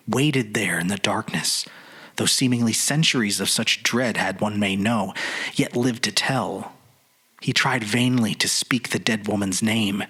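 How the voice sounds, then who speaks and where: A man giving a suspenseful dramatic reading of a horror story, with deliberate phrasing and pauses. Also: mid-range voice, bright, forceful tone, husky. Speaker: podcast narrator.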